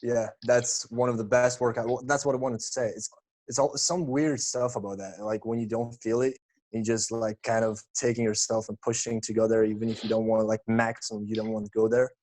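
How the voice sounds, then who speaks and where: Laid-back young man, flat tone. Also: medium pitch, fairly quick. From Lithuania. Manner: relaxed, laid-back, calls people bro. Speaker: podcast guest. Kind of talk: casual chat.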